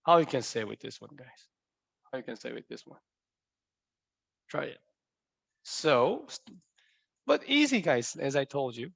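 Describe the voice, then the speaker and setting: A man's voice: mid-range voice, huge pitch swings, unhurried, gravelly, pauses often, fairly bright tone, breathy edge, no echo. A young man from Korea with a Korean accent, a native Korean speaker teaching a language lesson in English, playful and quizzing his viewers with repeated questions.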